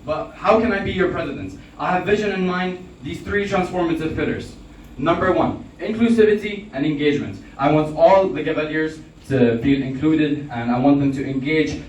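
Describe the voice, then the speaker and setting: A teenage boy delivering a prepared campaign speech in a formal, earnest manner. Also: on the high side. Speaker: club presidential candidate. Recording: distant mic in echoey room, faint noise.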